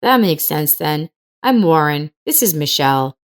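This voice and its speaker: A woman's voice: low voice, expressive, a little slow. Woman acting an earnest, polite young boy. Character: polite young boy, earnest orphaned martial arts pupil.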